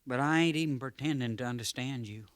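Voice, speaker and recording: An actor playing an excitable young American cavalry trooper with rustic country speech in a 1950s radio western. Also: male voice, medium pitch, quick pace, no room sound, lightly acted. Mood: puzzled, respectful. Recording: vintage 1950s radio recording.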